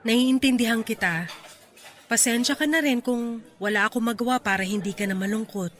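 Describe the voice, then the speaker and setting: Actress as young Filipina romantic lead: high, bright, playful but guarded, radio drama with music underneath. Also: female voice, normal speed, close to an everyday voice. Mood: gently firm. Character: young single woman, teasing but guarded, goal-driven.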